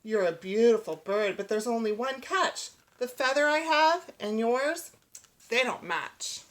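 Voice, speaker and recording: An earnest young adventurer from a children's storybook , voiced by a woman reading aloud. The speech is polite and expressive, in bouncy rhyme. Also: fairly high pitch, unhurried. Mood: polite, disappointed.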